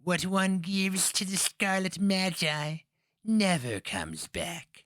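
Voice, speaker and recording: Man as old rat witch grandmother, high swooping voice, mocking, cajoling, storybook fantasy. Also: lively intonation, slow pace, fairly bright tone, no echo, clean studio sound, full character voice. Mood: spiteful.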